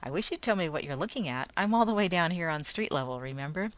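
A kindly, patient father, read aloud by a woman. He answers in a low, reassuring tone. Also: expressive, fast talker, lightly acted.

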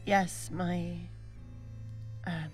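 Lonely space traveller, slightly low voice, very slow, halting, anxious, subdued, naturalistic audio drama. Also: female voice, steady tone, faint music behind. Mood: subdued, hesitant.

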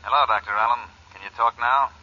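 An actor playing a sly, menacing blackmailer on a phone call, in 1940s radio style on a muffled old recording. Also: male voice, medium pitch, fast, sharp tone, a little hiss or hum. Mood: curt. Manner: sneering, insinuating.

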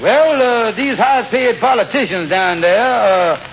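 An actor playing a scheming, glib husband with blustering, folksy, ungrammatical speech in an old radio comedy. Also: male voice, high-pitched, animated, unhurried. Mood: smooth-talking persuasion. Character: scheming, glib husband, fast-talking buddy of dubious ideas. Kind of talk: 1940s-50s radio comedy acting. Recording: noisy, muffled old radio broadcast.

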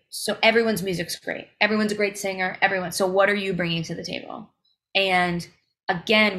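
A woman's voice: mid-range voice, fairly bright tone. A woman singer as a podcast guest, talking in emphatic bursts full of fillers and restarts. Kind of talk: casual interview chat.

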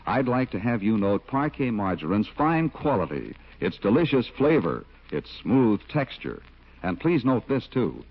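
A man's voice: on the low side, very animated, measured pace. An American man working as a 1940s radio announcer, reading scripted copy in an emphatic, polished sales style. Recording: muffled 1940s radio recording.

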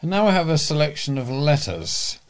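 A British man reading local news and letters aloud as a volunteer, in a measured way. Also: fairly high pitch, sing-song, creaky.

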